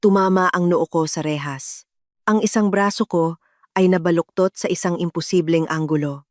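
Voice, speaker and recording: A woman's voice: lowish voice, normal speed, no room sound, studio quality. A woman reading a dramatic first-person story as a scripted narration.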